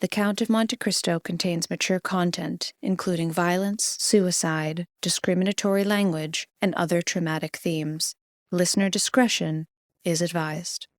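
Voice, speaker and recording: A woman's voice: fairly low pitch, steady tone, normal speed, dry recording, clean studio sound. A woman reading a scripted content advisory as an announcer.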